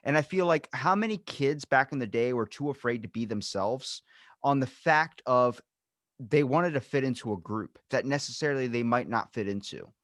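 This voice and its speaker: A young man hosts a casual podcast chat with a middle-pitched voice and a close, dry sound. He talks loosely, swears freely, and acts out everyday scenes. It sounds like an unpolished conversation between friends. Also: moderate pace, no echo. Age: young adult. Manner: loose, profane, animated, acts out little scenes. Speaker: podcast host.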